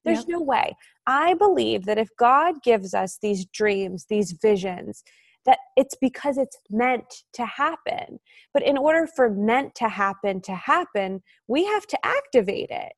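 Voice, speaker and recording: A woman's voice: fairly high pitch, animated, a little slow, no echo, studio quality. Chatty, reflective woman guest. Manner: reflective, many "like" fillers, upbeat. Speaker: interview guest, author. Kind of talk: casual podcast conversation.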